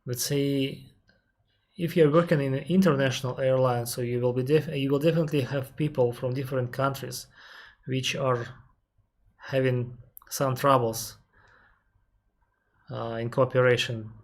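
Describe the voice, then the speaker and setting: A man with a Russian accent, an airline captain on a live stream, reading out viewer questions and answering them carefully, often pausing with "uh" and restarting his sentences. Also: mid-range voice, steady tone. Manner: careful, explanatory, pauses with frequent "uh" and restarts; reads viewer questions aloud, then answers at length.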